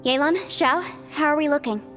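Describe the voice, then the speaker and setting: High-voiced, clever young heroine. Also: female voice, normal speed, fairly bright tone, faint music behind. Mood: upbeat. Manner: articulate, explanatory, encouraging. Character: bright, clever young woman legal adept, upbeat problem-solver. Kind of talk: video game voice acting.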